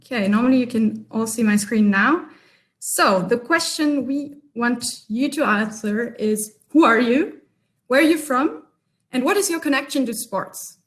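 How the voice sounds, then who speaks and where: A woman's voice: a little high, animated, measured pace. A woman from Germany, leading an online workshop session over a video call in a chatty, upbeat way with many ums. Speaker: workshop facilitator.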